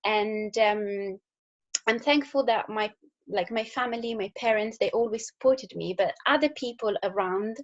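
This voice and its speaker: A woman's voice: mid-range voice, unhurried, muffled recording. A young woman from Italy with an Italian accent, talking casually to school pupils, with fillers and restarts. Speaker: engineer. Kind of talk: recorded talk to school pupils.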